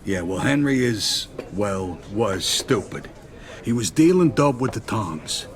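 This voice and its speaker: An actor playing a wary middle-aged mafia lieutenant with an American accent, grave and streetwise in a game cutscene. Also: male voice, fairly low pitch, very animated, slow pace. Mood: grave, probing. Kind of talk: dramatic video game cutscene acting.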